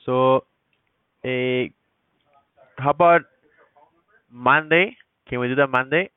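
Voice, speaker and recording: A man's voice: medium pitch, slow pace, clear, resonant tone, dry recording. A man calling from a roofing company, asking questions in a hesitant way and often repeating himself. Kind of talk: phone sales call. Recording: tinny phone line.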